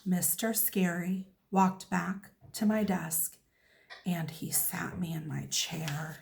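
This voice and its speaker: A woman reading a children's story aloud as a teacher, expressive and deliberate. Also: fairly low pitch, creaky. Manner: animated, deliberate.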